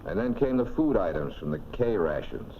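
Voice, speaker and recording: A man's voice: on the low side, big pitch swings, moderate pace. A man narrating a 1940s military training film in a folksy, clipped, instructive way. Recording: dull, noisy 1940s film soundtrack.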